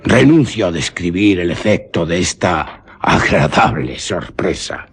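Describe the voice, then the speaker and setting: A man with a husky, rough-edged voice, narrating a suspense story theatrically and deliberately in literary radio-theatre style. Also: sits low, lively intonation.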